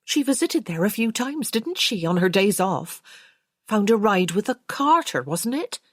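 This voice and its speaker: A woman's voice: medium pitch, sing-song, measured pace, dry recording, clean studio sound, pitched above the performer's own voice. A woman voicing a gossipy, opinionated servant woman with colloquial speech in an audiobook.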